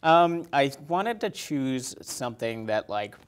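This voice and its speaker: A man chatting casually as a podcast guest in a halting way, often saying "like" and correcting himself. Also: medium pitch, sing-song, clear, resonant tone. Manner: halting, many "like"s and self-corrections. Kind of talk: casual chat.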